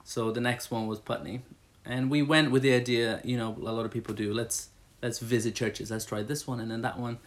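A man in his thirties, from Brazil, talks through his church role in a loose, rambling way. He piles up fillers and restarts as he goes, at an everyday middle pitch. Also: steady tone, a little fast, few pauses. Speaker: church staff member. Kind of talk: casual interview answers.

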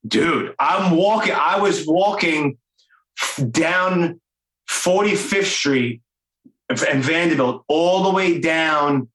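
A man's voice: on the high side, takes it slowly, a bit bright. A man in his forties hosting an interview, talking loosely and with enthusiasm, full of fillers. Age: 40s. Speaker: podcast host. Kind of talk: casual interview chat. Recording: roomy echo.

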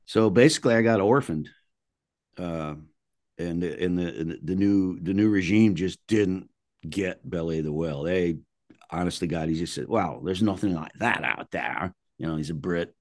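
An older man speaks in a deep voice with very little room sound around it. He tells anecdotes as an interviewed musician would, casually and colloquially. His phrasing is blunt and salty. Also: moderate pace, darkish tone, no echo, clean studio sound.

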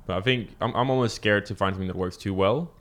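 A man, a fellow YouTuber, chatting casually and asking relaxed questions. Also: on the low side, quick pace. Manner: relaxed, asks questions, brief interjections. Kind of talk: casual chat.

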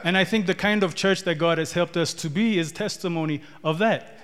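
A man's voice: sits high, a little fast, a bit bright, clear, resonant tone. A man from Ethiopia preaching a sermon, repeating words and building in rising declarations. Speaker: pastor. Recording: long hall reverb.

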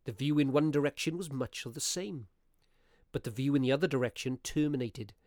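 A man acting as a curious, courteous Victorian gentleman who narrates a ghost story in a radio play, with theatrical, deliberate phrasing. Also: medium pitch, dry recording, natural voice. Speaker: narrator of an audio drama.

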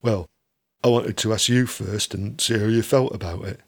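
A blunt Northern English band manager, played by a male audiobook reader, pitching ideas casually. The voice is gravelly and matter-of-fact, breezy about everything. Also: fairly even intonation, normal speed. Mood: offhand.

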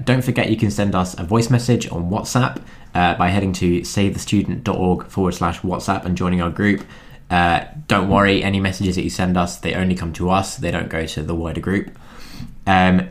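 A young man, co-hosting a podcast in a relaxed, joking way with lots of fillers. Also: deep voice. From London. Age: 20s. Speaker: podcast co-host. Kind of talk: casual podcast chat.

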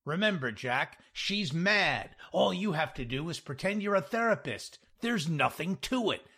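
A storyteller doing a brash, husky scheming man. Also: medium pitch, expressive, measured pace, sharp tone, voice pushed up, heavily acted. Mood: brash, impatient. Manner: roaring and hissing, impatient.

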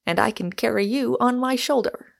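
A woman's voice: on the high side, normal speed, vocal fry, voice pushed up. A woman voicing an exuberant, argumentative Irishman, a storybook suitor who declaims with great emphasis. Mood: earnest, insistent.

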